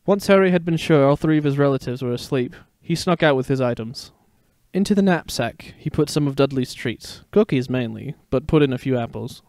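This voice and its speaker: A man's voice: medium pitch, expressive, fairly quick, darkish tone, no room sound, clean studio sound, natural voice. A man voicing a playful, protective young father in a dramatic story reading. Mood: reading aloud. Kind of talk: dramatic fan fiction reading.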